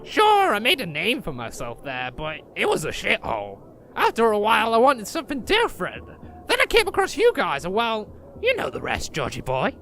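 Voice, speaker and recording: A man's voice: high-pitched, wildly swooping pitch, normal speed, a bit bright, slight background noise, heavily acted. A man playing an irritable, boastful, foul-mouthed rogue who peddles potions in a comedy fantasy audio drama. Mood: grumbling. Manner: cocky, grumbling, rambling.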